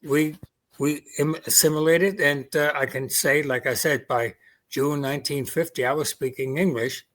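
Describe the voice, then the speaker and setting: A man's voice: fairly high pitch, steady tone, moderate pace, fairly bright tone. A man in his eighties with a Queens New York accent, German-born with German as his first language, reminiscing in a casual interview and quoting his family's words. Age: 80s. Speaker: veteran guest.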